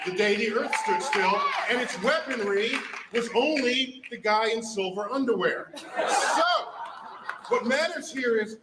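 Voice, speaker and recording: A noisy, distant hall recording of a man with a high, bright voice. He speaks to an audience in an impassioned, emphatic way. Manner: dramatic, emphatic, building delivery. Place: large hall, live event.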